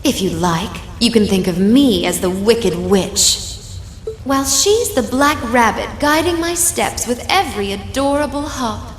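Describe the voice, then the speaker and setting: A woman's voice: a little high, animated, unhurried, reverberant, long reverb tail, soft background music. A woman playing a smug, teasing witch villainess in a video game, with mocking, theatrical politeness. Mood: mocking, amused. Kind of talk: dramatic video game voice acting.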